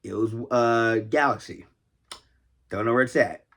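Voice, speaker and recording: A man's voice: mid-range voice, a little slow, a bit bright. An American man hosting a casual, unscripted vlog as a toy collector, talking in a rambling, conversational way and often repeating himself. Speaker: toy collector and video host.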